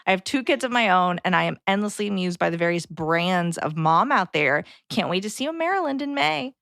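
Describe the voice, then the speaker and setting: A woman's voice: fairly low pitch, lively intonation, fairly quick, vocal fry, a bit bright, no echo, studio quality. A woman co-hosting a podcast in a casual style, with a blunt and wry way of talking. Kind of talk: casual podcast chat and reading out messages.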